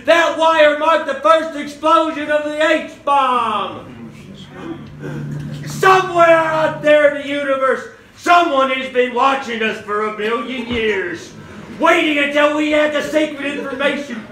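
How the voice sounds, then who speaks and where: Man acting a high-pitched desperate convicted man, echoey stage. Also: unhurried, bright, forceful tone, heavily acted. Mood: pleading. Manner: impassioned, declamatory. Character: desperate, earnest convicted man pleading his innocence. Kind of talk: theatrical stage acting of a radio play. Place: live on a theater stage. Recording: echoey theater stage, noisy live audience.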